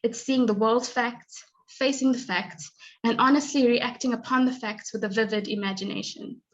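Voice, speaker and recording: A woman's voice: fairly high pitch, fairly even intonation, moderate pace, muffled recording. A woman, giving a prepared talk as a guest speaker and sliding in small laughs and fillers. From born in Zimbabwe, raised in South Africa. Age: 20s. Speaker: guest speaker, graduate student.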